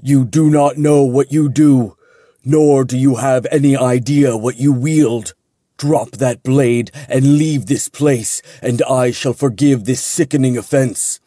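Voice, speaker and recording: A man reading fantasy fiction aloud, voicing a formal, ominous male angel with slow, thunderous words. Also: medium pitch, mellow, no room sound, clean studio sound. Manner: slow, grave, formal intoning.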